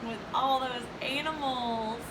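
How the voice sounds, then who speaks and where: Lady reading a kids picture book, slow and high pitched, far from the mic w noise behind her. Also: steady tone. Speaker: elementary school educator reading aloud. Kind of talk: children's storybook read-aloud. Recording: distant mic, clear background noise.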